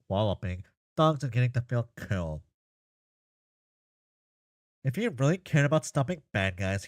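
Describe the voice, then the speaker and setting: A man's voice: mid-range voice, no echo, studio quality, lightly acted. A man playing an earnest, goofy, chatty boy full of silly opinions, rambling playfully in an amateur comic dub.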